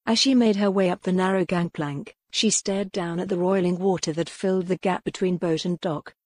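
A woman's voice: lowish voice, steady tone, moderate pace, a little mellow, no echo. A woman reading scripted narration as an audiobook narrator of historical fiction.

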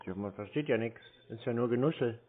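A man with a mid-pitched voice confronts someone casually over the phone. He sounds dry and sceptical and keeps needling, his voice squeezed into a thin telephone band. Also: brisk. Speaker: scambaiter taking a scam call. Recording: narrow, muffled phone sound.

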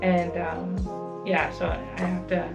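A woman's voice: fairly low pitch, little pitch movement, slow, vocal fry. A woman in her thirties, a comedian, answering casually and chattily in an interview. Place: small backstage dressing room. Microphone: camera across the room. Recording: distant camera mic, music behind.

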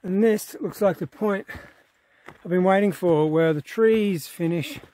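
A man's voice: high voice, a little slow, no echo. Man vlogging on a mountain hike. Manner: relaxed, awed, talking to camera while walking. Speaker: hiker vlogger. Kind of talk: casual on-the-go vlog narration. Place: outdoors on an open alpine mountain trail. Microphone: phone camera held at arm's length.

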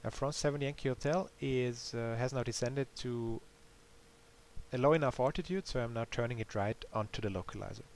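A man's voice: mid-range voice, brisk. A man giving a matter-of-fact, explanatory tutorial voice-over for a simulator game. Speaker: developer demonstrating a simulator game. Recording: clear background hiss.

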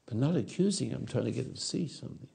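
Gravelly man in his eighties. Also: mid-range voice, animated, dark tone. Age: 80s. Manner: halting, repeats words, restarts phrases; gestures with hands while talking. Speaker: speaker answering audience. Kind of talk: rambling philosophical talk. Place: dark stage or studio. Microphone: lapel mic.

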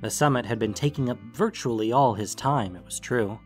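A man's voice: medium pitch, brisk, a little mellow. A man reading scripted fiction as an audiobook-style narrator. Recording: music bed under the voice. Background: guitar music.

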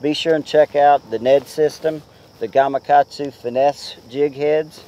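Relaxed chatty man outdoors, mellow voice. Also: fairly high pitch, a little slow. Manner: relaxed, chatty, many "uh" and "you know" fillers. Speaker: pro angler giving fishing tips. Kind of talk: casual how-to talk to camera. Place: outdoors on a boat on a lake.

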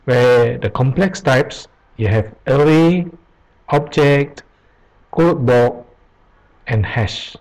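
A man walks through programming basics in a screen-recorded tutorial, reading slowly from web pages. He talks at a leisurely pace in a mid-pitched voice. He often pauses and restarts as he goes. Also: a little mellow, low-quality recording. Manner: slow, halting, reads aloud with pauses and restarts. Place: at a computer, voice-over on screen capture.

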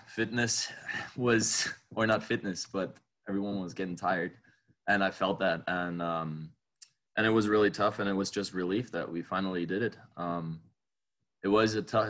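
A man's voice: low-pitched, fairly bright tone. A young man, a college soccer player tired after a match, answering questions at a press conference. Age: young adult.

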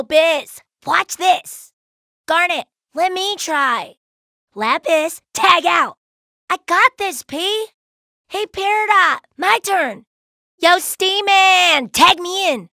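A playful young gem warrior woman for a cartoon video game. Her voice is high and bright, swinging widely in pitch. She is brash yet laid-back, tossing out cheeky slang and exclamations in a dry, close recording. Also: creaky, no echo, heavily acted. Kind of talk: cartoon video game voice acting, short barks.

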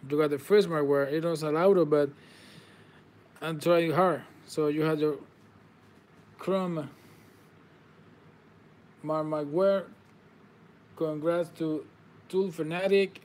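A man's voice: a little high, fairly even intonation, slow pace, pauses often, a little mellow. Upbeat man calling out trading cards. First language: Spanish. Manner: upbeat, congratulating viewers, non-native phrasing. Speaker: card break host. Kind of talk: live card-breaking commentary. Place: indoor table with card boxes.

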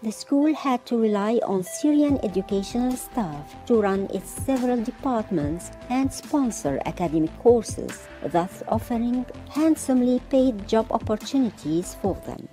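A woman reading a scripted documentary voice-over in a measured, formal way. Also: a little high, big pitch swings, dark tone. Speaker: narrator. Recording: voice-over with faint music.

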